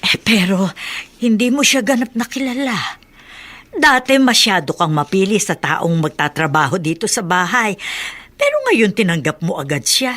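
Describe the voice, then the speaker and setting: A woman's voice: mid-range voice, expressive, normal speed, fairly bright tone. An actress playing a kindly middle-aged housekeeper in a Tagalog radio drama, motherly and deferential yet probing, sometimes hesitant. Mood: questioning.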